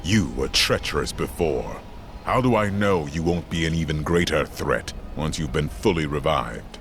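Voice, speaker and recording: An actor playing a fallen angel turned mortal in a video game cutscene, stern and measured. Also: male voice, extremely low pitch, a bit bright, a little hiss or hum.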